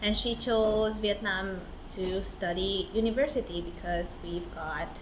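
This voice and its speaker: A young woman from Hungary, a podcast guest, talking in a relaxed, chatty way and laughing easily. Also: medium pitch, measured pace. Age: young adult. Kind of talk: casual interview chat. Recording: roomy with clear background noise.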